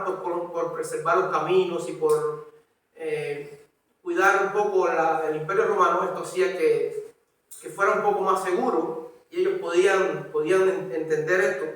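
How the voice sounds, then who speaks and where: High-voiced Cuban preacher in echoey hall. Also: male voice, a bit bright. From Cuba. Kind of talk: expository sermon with scripture reading. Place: church hall. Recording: echoey room, distant microphone.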